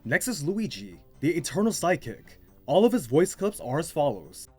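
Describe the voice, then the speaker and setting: A man's voice: fairly high pitch, sing-song, normal speed, mellow, husky, no room sound. A man delivering scripted narration as the host of a video game commentary. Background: music.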